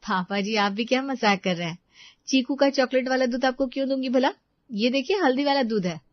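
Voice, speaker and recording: Woman playing a brisk, higher-voiced young housewife. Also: no room sound, lo-fi sound, natural voice. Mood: exasperated. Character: thrifty young housewife, brisk, defensive, practical daughter-in-law.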